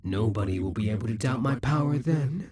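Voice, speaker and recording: A man's voice: medium pitch, lively intonation, fairly quick, a little mellow, slight background noise, close to an everyday voice. A man voicing a proud, possessive male demon king who taunts and schemes, sometimes dropping to a whisper. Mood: taunting. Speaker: voice actor in an audio roleplay. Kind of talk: audio roleplay acting.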